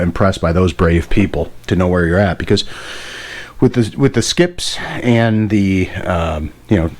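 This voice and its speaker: A man giving a casual hands-on demonstration as a radio hobbyist, rambling and correcting himself with enthusiasm. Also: low voice. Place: indoor workbench.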